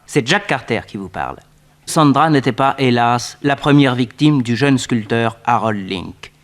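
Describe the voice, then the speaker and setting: Guy acting as detective's nephew narrating old radio crime serial, medium pitch, bit of hiss. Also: expressive, a little slow, lightly acted. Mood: grave, measured. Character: male detective's nephew, narrating the case in retrospect. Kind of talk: scripted radio drama narration. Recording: slightly noisy old radio recording.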